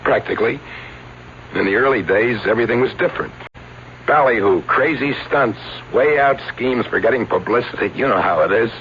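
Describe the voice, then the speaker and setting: Actor playing a defensive old showbiz agent. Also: male voice, medium pitch, a little fast, close to an everyday voice. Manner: earnest, defensive, showman's patter. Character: fast-talking showbiz agent, defensive and sheepish. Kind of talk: 1970s radio drama acting. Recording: dull old radio broadcast with hiss.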